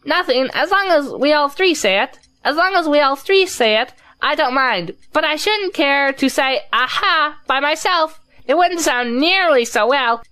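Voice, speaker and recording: Lady doing a nervous tiny piglet guy, high and dry sounding, kids audio story, kinda hesitant. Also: animated, a little slow, hardly any pauses, sharp tone, dry recording, heavily acted. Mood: worried, hesitant. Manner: hesitant, worried, repeating himself. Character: timid small male piglet, anxious to please. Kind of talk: children's storybook audio drama.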